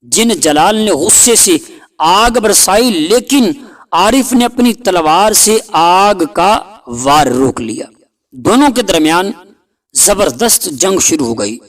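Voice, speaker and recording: A man narrating a scripted folk tale in a deliberate, dramatic storytelling manner. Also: sits high, expressive.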